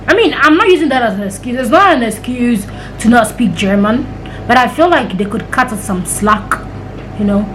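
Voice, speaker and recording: A woman's voice: fairly high pitch, poor audio. A young woman from Ghana, chatting and rambling through stories to her camera. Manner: chatty, rambling storytelling with acted-out quotes. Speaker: vlogger. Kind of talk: casual chat to camera.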